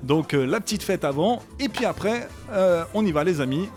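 Man, radio host, studio mic, casual rambling banter, many hesitations, slightly high pitch, faint music behind. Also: expressive, normal speed, a little mellow. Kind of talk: casual radio chat between songs.

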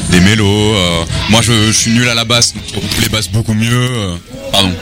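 Hesitant low-voiced musician, music behind. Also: male voice, brisk. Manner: hesitant, full of "euh" and restarts. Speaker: musician being interviewed. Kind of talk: casual chat. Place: festival site, outdoors. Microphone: interviewer's microphone. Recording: live music playing behind.

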